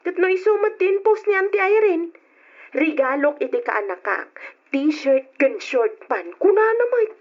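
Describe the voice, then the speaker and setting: Gossipy, teasing young Ilocano cousin, high voice, muffled radio drama recording. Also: female voice, big pitch swings, unhurried, exaggerated voice. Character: chatty, nosy, teasing young female cousin.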